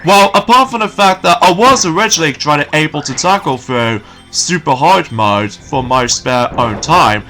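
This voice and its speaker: A man giving rambling, casual let's play commentary, his voice slightly croaky from waking early. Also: a little high, animated, moderate pace, few pauses, sharp tone, soft background music. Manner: rambling, repeats filler phrases.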